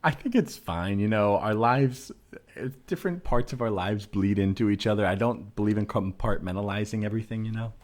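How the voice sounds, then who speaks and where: A man playing an earnest, low-voiced tattooed guy. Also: close to an everyday voice. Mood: earnest, musing. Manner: halting, earnest, repeats words while searching for phrasing. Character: earnest, easygoing tattooed man enthusiastic about beavers. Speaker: improv comedian. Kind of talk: improvised comedy sketch in conversational tone.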